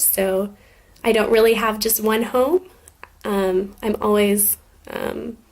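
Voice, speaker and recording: A young woman with an American accent, raised in Scotland and Germany, answering questions for an English class in a relaxed, thoughtful way, with frequent ums. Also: fairly high pitch, vocal fry. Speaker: guest answering student questions.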